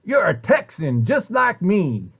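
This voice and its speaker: A man's voice: high voice, wildly swooping pitch, takes it slowly, vocal fry, fairly bright tone, breathy edge, exaggerated voice. Man reading as a plainspoken folksy Texan drifter. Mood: frank, folksy. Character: folksy male Texan drifter in a children's tall tale.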